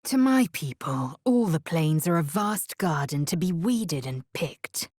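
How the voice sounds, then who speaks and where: A proud young female alien warrior from a fantasy video game. The voice sits low, with a raspy edge and a measured, unhurried delivery. She sounds haughty and formal, biting off her phrases with fierce scorn. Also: expressive, a little mellow, dry recording, clean studio sound. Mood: reflective. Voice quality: husky, raspy. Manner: clipped, imperious, haughty, formal. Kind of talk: video game character voice acting.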